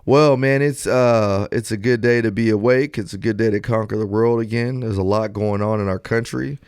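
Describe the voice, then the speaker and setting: A man's voice: on the low side, moderate pace, clean tone, dry recording, studio quality. A man hosting a podcast, telling stories casually with plenty of slang and acting out exchanges as he retells them. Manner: slangy, colloquial, animated when retelling exchanges. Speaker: podcast host. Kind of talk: casual podcast banter and storytelling.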